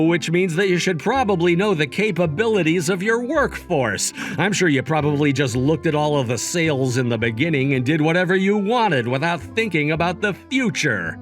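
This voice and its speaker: Man playing composed, principled young CEO, lively intonation. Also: a little high, natural voice. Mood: stern. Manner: firm, explanatory, lecturing. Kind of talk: manga dub narration and dialogue.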